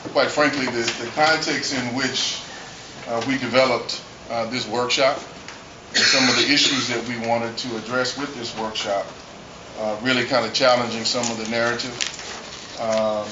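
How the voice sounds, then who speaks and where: A man moderating a conference panel, speaking in loose, halting phrases. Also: medium pitch. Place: conference hall. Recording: muffled, noisy, roomy, from far off.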